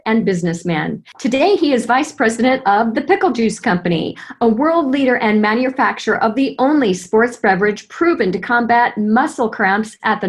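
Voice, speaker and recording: A woman who hosts a business podcast, introducing her guest from a prepared script and then asking chatty, thoughtful questions. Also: a little high. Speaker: podcast host. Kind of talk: hosted business interview.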